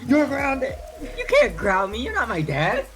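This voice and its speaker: A woman's voice: a little high, wildly swooping pitch, unhurried. A playful woman bantering casually and joking with friends during a video game session. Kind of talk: casual jokey group chat over gameplay. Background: video game music.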